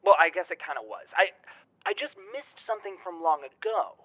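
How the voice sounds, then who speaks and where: A man's voice: sits high, animated, a little fast, dry recording. A man playing a rattled young man confiding in his friends in an audio drama, speaking hesitantly over a muffled line. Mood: uneasy, reflective.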